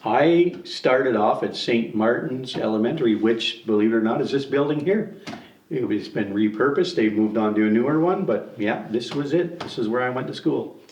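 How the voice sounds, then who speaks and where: A man's voice: medium pitch, moderate pace, darkish tone, highs cut off. A man in his sixties from Ontario, Canada, telling nostalgic stories about his school days. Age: 60s. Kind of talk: documentary interview. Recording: roomy sound from a distant mic.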